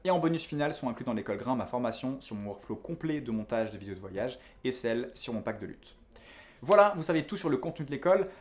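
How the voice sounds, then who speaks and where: Guy, course teacher doing a scripted promo, talks really fast. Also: mid-range voice, animated. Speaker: video course instructor and founder. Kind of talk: scripted promotional narration to camera.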